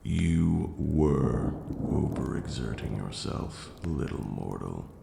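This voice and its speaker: A man's voice: very deep voice, lively intonation, slow pace, vocal fry, roomy sound, background noise, full character voice. A man playing a haughty but caring vampire lord in an ASMR roleplay, speaking formally and sternly. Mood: gently scolding.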